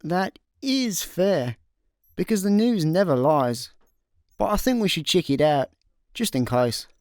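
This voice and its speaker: A man's voice: high-pitched, animated, a little slow, a little mellow, no room sound, clean studio sound. A man playing an easygoing, slightly more sensible slacker in a comedy sci-fi radio play, casual and hesitant. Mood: pondering.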